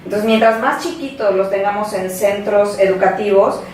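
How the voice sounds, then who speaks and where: A woman's voice: medium pitch, fairly even intonation, moderate pace, darkish tone, a little hiss or hum. Earnest woman guest from Mexico. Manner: earnest, explanatory, with fillers. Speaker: pedagogy graduate guest. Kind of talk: panel discussion. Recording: roomy studio heard from a distance.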